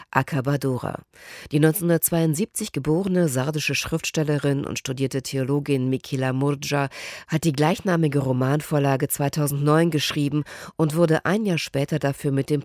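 A man's voice: fairly high pitch, darkish tone, no echo, clean studio sound. A man with standard German giving a scripted introduction as a radio presenter.

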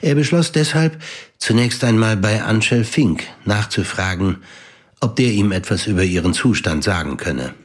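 A man narrating a scripted audio drama in a measured, matter-of-fact way, with a husky voice. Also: on the low side, animated. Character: matter-of-fact male narrator of a crime story.

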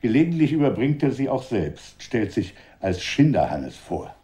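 An actor playing a confident, wry commissioner general in a German radio drama, speaking formally and with assurance. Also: male voice, medium pitch, big pitch swings, normal speed, warm tone, close to an everyday voice. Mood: dry, briefing.

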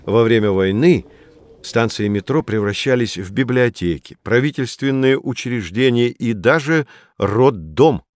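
A man playing a patient, earnest guide who explains things to children with deliberate emphasis in a scripted educational audio drama. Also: mid-range voice, no echo, clean studio sound, close to an everyday voice. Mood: solemn, earnest.

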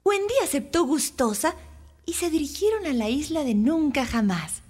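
A woman narrating a scripted children's story with expressive, careful phrasing. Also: high-pitched, normal speed.

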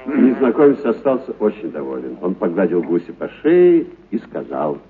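A man narrating a literary story for radio in an expressive, dramatic actor's delivery. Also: deep voice, normal speed. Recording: muffled narrow-band radio recording.

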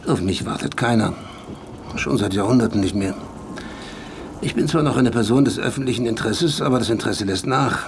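An actor playing a vain, world-weary philosopher-writer in a radio drama, sardonic, pompous and speaking in provocative aphorisms. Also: male voice, fairly low pitch, brisk, vocal fry, background noise. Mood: wry, flirtatious. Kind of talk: German radio drama acting.

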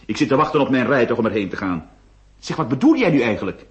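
An actor playing an excitable, bewildered male notary in a theatrical radio play, exclaiming and repeating himself when alarmed. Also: medium pitch, quick pace, lo-fi sound, a crowd in the background, natural voice. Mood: surprised, flustered.

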